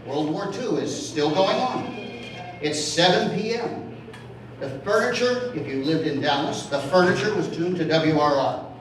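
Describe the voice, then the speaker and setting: A man with a slightly high voice narrates an introduction to a live audience. He sounds distant in a heavily echoing room. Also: measured pace. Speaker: presenter introducing the show. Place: library, live before an audience. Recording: very echoey, distant live hall recording, noisy.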